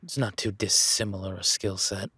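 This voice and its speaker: A man's voice: sits low, moderate pace, sharp tone, slightly rough, dry recording, heavily acted. A man playing an easygoing, seasoned cowboy rancher in an audio roleplay, talking to the listener in a folksy, plainspoken way.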